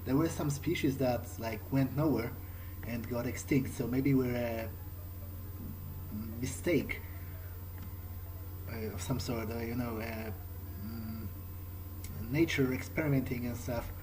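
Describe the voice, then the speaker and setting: A man improvising in character as he plays a video game, conversational, halting and thinking aloud. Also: medium pitch, steady tone, noisy background. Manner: hesitant, musing, halting. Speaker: gamer playing in character.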